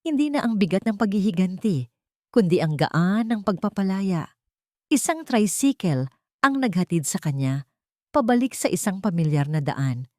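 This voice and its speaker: A woman's voice: mid-range voice, animated, moderate pace, darkish tone, no room sound, studio quality. A woman narrating a scripted romantic drama as a storyteller.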